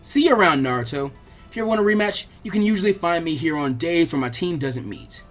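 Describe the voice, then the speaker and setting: A man's voice: a little high, expressive, quick pace, fairly bright tone, soft background music. A man voicing a friendly, frank young ninja girl with a casual, encouraging manner, for a fan fiction audiobook.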